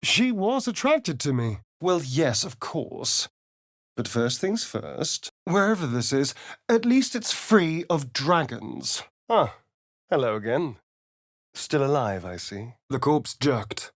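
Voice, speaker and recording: An actor voicing a sly, vain vampire rogue for a video game, with an English accent and an arch, theatrical, drawling delivery. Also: male voice, a little high, big pitch swings, takes it slowly, full character voice. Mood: arch, amused.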